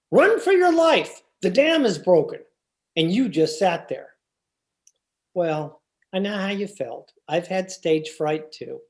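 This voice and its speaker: A teasing, stubborn sitcom husband from old-time radio , played by a woman. The voice is soft-edged and fairly low for a woman, with very lively swings of pitch. The delivery is theatrical, wry and exasperated. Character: George, teasing, stubborn husband of a 1940s housewife. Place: remote video-call production.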